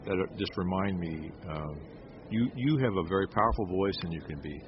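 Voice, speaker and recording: A man's voice: deep voice, animated, moderate pace. A middle-aged man interviewing a musician, asking long, rambling questions full of compliments. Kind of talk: casual interview talk. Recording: dull lo-fi audio with clear noise.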